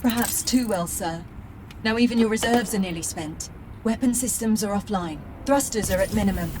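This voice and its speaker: A woman's voice: medium pitch, moderate pace, background noise, close to an everyday voice. A woman voicing a loyal, composed AI assistant in a video game, polite and precise, frankly protective of the one she serves. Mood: polite concern. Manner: polite, precise, addresses boss as sir.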